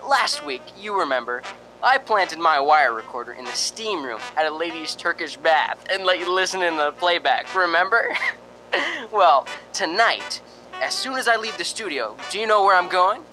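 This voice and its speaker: Radio drama disc jockey played by a woman: hammy prankster patter, bright, animated delivery. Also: lowish voice, moderate pace, faint music behind, poor audio. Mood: excited, teasing. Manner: hammy, teasing showman patter. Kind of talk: old-time radio drama acting.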